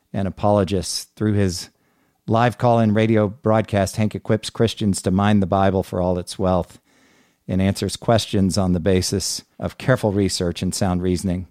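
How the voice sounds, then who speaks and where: A man's voice: low voice, flat delivery, moderate pace, a little mellow, dry recording, clean studio sound. A middle-aged man hosting an interview podcast. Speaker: podcast host.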